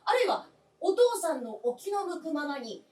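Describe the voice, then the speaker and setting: A woman's voice: high voice, sing-song, moderate pace, darkish tone, distant mic. A woman voicing an earnest, persistent adult son in a radio drama, with polite, formal insistence. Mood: pleading, insistent. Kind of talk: live radio drama acting. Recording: roomy stage recording from afar.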